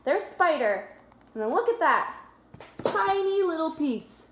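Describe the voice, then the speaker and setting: A high-pitched woman reads a storybook to small children at a slow pace. She speaks like a preschool teacher, explaining things simply and prompting with questions. The room around her sounds echoey. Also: very animated, noisy background. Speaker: preschool teacher reading a picture book aloud. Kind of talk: storybook read-aloud with questions to young children.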